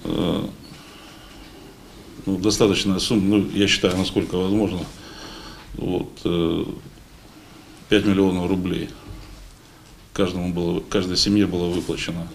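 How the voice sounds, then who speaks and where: A man in his forties giving a halting, informal speech at a meeting, pausing often. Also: low voice, little pitch movement, creaky. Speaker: paratrooper unit member addressing a meeting. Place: meeting hall at a lectern. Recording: noisy room recording.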